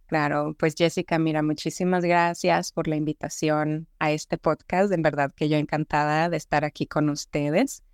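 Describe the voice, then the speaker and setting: A woman's voice: sits low, little pitch movement, normal speed, few pauses, clean tone, no room sound, studio quality. A young woman from the Juárez border region, a podcast guest talking in a friendly, earnest way with many fillers. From Mexico, Juárez border region. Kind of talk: podcast interview.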